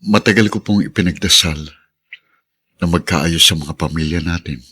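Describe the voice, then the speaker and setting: An actor playing a humble, forgiving younger family man in a Filipino radio drama, husky, polite and deferential. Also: deep voice, moderate pace, exaggerated voice. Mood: humble, sincere. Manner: polite, gentle, deferential phrasing.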